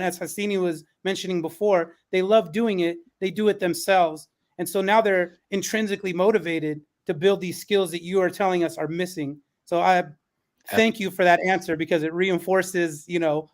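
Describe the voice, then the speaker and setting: A man speaking conversationally as a panel host and schoolteacher, earnest and appreciative. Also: high voice. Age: adult, parent of a newborn.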